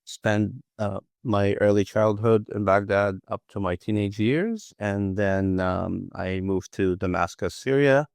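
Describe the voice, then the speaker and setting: A man's voice: sits low, creaky, clean tone, no echo, clean studio sound. A middle-aged man from Iraq, a guest answering interview questions in a hesitant way, with many fillers and restarts. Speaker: guest, analytics professional.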